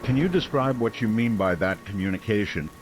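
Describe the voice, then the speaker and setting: An actor playing a small-town late-night radio host, measured, serious and a little skeptical. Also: male voice, lowish voice, animated, slight background noise, lightly acted, low-quality recording. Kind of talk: radio drama call-in hosting.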